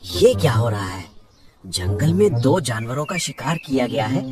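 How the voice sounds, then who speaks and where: A woman voicing an excitable, worried jungle animal in a cartoon fable, with exclamatory, colloquial speech. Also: extremely low pitch, normal speed, recorded from a distance, a crowd in the background. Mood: alarmed.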